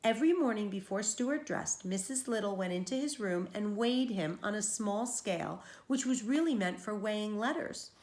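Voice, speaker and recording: A woman's voice: medium pitch, expressive, normal speed, few pauses, a little mellow, roomy sound. A woman teacher reading a children's storybook aloud, explaining things to young listeners as she goes.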